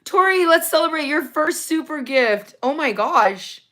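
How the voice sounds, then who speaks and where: A woman hosting a casual livestream from her phone, reading viewer comments, her voice going raspy from talking so long. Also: high voice, a little slow. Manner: chatty, excited when thanking viewers for gifts. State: losing her voice, getting raspy. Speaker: livestream host.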